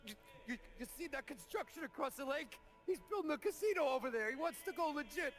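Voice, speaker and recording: An actor playing a terrified American low-level gangster who pleads, stammers and swears in a video game crime drama. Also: male voice, lively intonation, quick pace, fairly bright tone, soft background music. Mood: frightened pleading.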